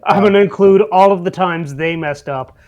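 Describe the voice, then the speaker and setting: A man hosting a casual podcast chat, asking questions in an unhurried way and throwing in jokey asides. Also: sits high, a little mellow, muffled recording. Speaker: podcast host.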